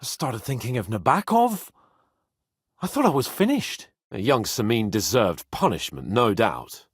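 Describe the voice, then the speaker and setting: A man's voice: mid-range voice, big pitch swings, measured pace, husky, dry recording, studio quality. A man playing a cocky, haughty young medieval nobleman in a video game, sardonic, emphatic and brash. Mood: wry, frank. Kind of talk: video game character dialogue.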